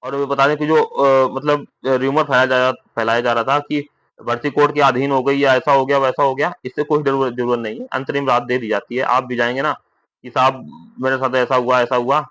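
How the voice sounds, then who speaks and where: A man's voice: medium pitch, flat delivery, fairly quick, a bit bright, no room sound, low-quality recording. A young man gives an informal explanatory update, repeating himself reassuringly and often adding tag questions. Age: young adult. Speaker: content creator giving recruitment updates to fellow candidates.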